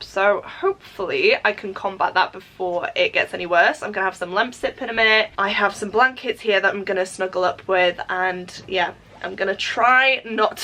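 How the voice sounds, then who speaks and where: A woman's voice: medium pitch, pushed and bright. A Welsh woman vlogging about books at home, chatty and enthusiastic, with a slight nasal tone from a cold. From Wales. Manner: chatty and enthusiastic, frequent "so yeah" and "definitely". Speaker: book vlogger. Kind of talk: casual reading vlog talk to camera.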